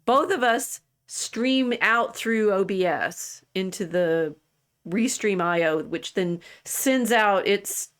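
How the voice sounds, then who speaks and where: A woman's voice: mid-range voice, takes it slowly, clean tone. Rambling woman in her sixties. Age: 60s. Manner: rambling, restarts often, laughs easily. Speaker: podcast host. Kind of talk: casual chat.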